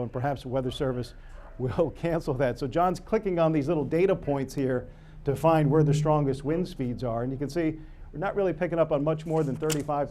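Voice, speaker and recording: A man's voice: medium pitch, dark tone, slight background noise. An American man working as a TV weather forecaster, ad-libbing live storm coverage in an explanatory way. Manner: explanatory, unscripted.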